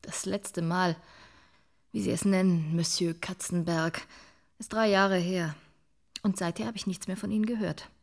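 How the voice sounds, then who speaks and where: A woman's voice: fairly low pitch, steady tone, measured pace, vocal fry. An actress playing a cool, irritated, sarcastic woman in a radio drama. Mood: cool, annoyed. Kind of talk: German radio drama acting.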